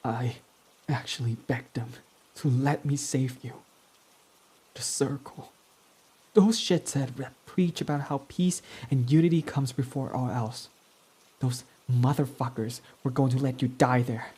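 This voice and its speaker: A man's voice: mid-range voice, a little slow, mellow, breathy edge. A young man voicing a brooding, foul-mouthed villain who is guarded but devoted, speaking in an intimate, confessional way close to the mic. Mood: bitter grief. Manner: intimate and confessional, swearing freely. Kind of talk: ASMR roleplay audio drama.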